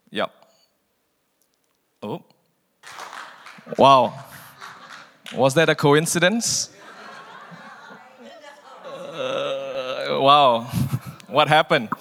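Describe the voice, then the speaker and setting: A man from Malaysia giving a guest sermon in a relaxed, chatty way, often repeating a word as he starts a phrase. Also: medium pitch, gravelly, long reverb tail, a little hiss or hum. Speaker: guest preacher. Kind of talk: informal sermon.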